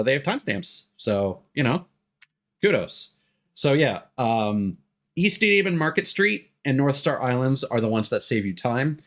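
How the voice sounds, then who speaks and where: A man streaming a video game, giving casual commentary in a rambling, explanatory way that halts mid-thought. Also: mid-range voice. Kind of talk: casual live gameplay commentary. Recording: game music behind the voice. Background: video game music.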